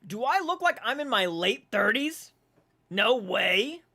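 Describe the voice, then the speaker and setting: A man in his twenties hosting a casual livestream, reading viewer comments aloud and reacting with animated, swearing banter. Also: sits high, a little slow. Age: 20s. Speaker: livestream host. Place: dark room at home.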